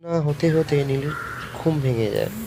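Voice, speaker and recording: A man's voice: fairly high pitch, moderate pace, vocal fry. A man narrating a scripted horror story in storytelling fashion. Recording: loud music under the voice. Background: loud background music.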